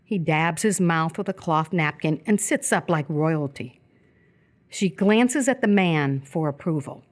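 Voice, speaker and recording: A woman reading scripted narration for live radio theatre in a measured, descriptive way. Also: low voice, expressive.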